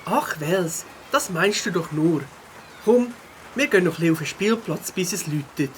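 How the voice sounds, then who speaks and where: A woman's voice: lowish voice, lively intonation, moderate pace, slight background noise, close to an everyday voice, poor audio. A woman voicing a kind, cheerful young beaver schoolboy in a children's audio drama, with a Swiss German accent. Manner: comforting, upbeat.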